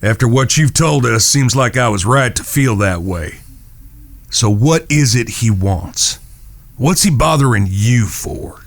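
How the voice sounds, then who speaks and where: Man playing a gravelly, steady western gunslinger. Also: mid-range voice, no echo. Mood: probing. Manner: measured, folksy, probing. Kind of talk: western audio drama acting.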